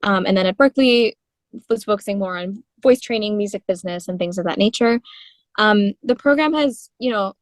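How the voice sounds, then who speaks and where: A young woman in her twenties from India, telling animated stories in an interview as a guest singer-songwriter. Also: mid-range voice, fairly quick, dry recording. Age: 20s. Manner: animated storytelling with frequent laughs and fillers. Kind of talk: conversational interview.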